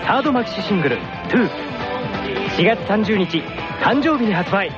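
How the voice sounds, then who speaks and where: A man's voice: high-pitched, animated, moderate pace. A man giving a scripted promotional read on the radio. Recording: slightly dull radio audio under loud music.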